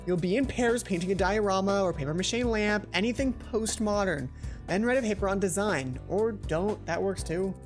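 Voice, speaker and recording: A man's voice: high voice, normal speed, lightly acted. Indifferent female teacher, read by a man, offhand instruction, game dialogue, music underneath. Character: breezy, indifferent adult female art teacher.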